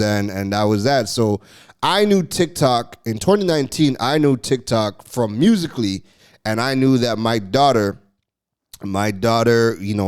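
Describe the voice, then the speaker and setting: Laughing, chatty man telling a story. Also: fairly low pitch. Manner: animated, laughs often, repeats and restarts phrases. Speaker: podcast host. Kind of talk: casual storytelling monologue.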